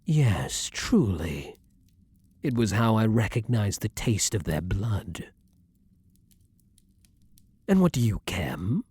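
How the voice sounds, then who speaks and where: A man's voice: on the low side, very animated, darkish tone, no room sound, full character voice. Guy doing a haughty old vampire king, asmr roleplay, super dry close sound, swoops from murmur to bite, formal and brooding. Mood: guarded, hostile. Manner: formal archaic phrasing, dramatic pauses, breathy murmurs. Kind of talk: intimate ASMR roleplay monologue.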